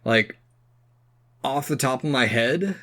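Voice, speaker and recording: A man co-hosting a podcast, talking casually about films and games and thinking aloud in a halting way. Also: medium pitch, a bit bright. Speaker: podcast co-host.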